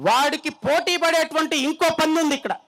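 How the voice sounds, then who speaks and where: A man's voice: very high-pitched, a little fast, fairly bright tone. A man with an Andhra Telugu accent delivering a fiery political speech from a stage, combative and threatening, hammering home phrases by repeating them. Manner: combative, threatening, emphatic repetition. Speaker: political speaker at a rally.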